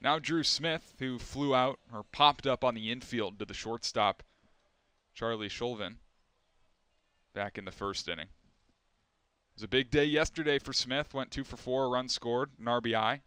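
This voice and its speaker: A young man calling a baseball game play-by-play, measured and steady, lifting his voice for the big plays. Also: medium pitch, smooth, no echo. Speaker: play-by-play announcer.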